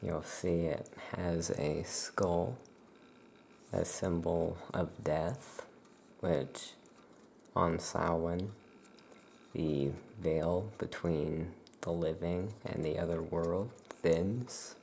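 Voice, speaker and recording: Soft-spoken ASMR shopkeeper, low-pitched and mellow, unhurried item-by-item explanation, faint ambient noise. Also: fairly even intonation, gravelly. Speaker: ASMR performer as witchcraft shopkeeper.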